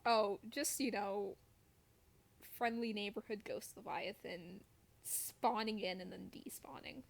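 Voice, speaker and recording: Playful woman streamer with creaky voice. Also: medium pitch, fairly even intonation, takes it slowly. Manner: playful, wry self-deprecation. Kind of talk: casual gameplay chat.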